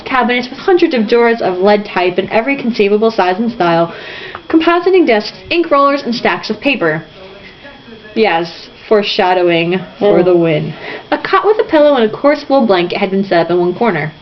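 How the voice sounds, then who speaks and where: A woman reading a novel aloud informally, theatrical and irreverent, with asides. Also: mid-range voice. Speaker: reader. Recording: dull lo-fi home recording, faint noise.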